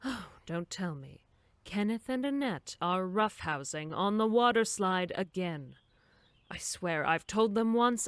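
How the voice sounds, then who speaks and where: A woman's voice: medium pitch, fairly bright tone, clear, resonant tone, no room sound. A woman playing a fussy, dismissive summer camp director in a horror audio drama, with prim, wordy phrasing. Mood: exasperated.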